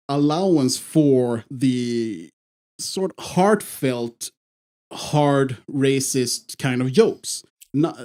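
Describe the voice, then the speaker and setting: A man hosting a podcast, explaining an idea in casual chat with many pauses and restarts. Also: mid-range voice, warm tone. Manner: halting, with many fillers and restarts. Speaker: podcast host.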